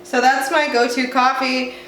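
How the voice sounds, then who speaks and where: A woman's voice: high voice, level tone, unhurried, a bit bright. A young woman from the southwestern United States, talking in a chatty, rambling way with a congested voice from a cold, at home. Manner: chatty, rambling, self-mocking. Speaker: vlogger. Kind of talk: casual chat. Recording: roomy echo, faint room noise.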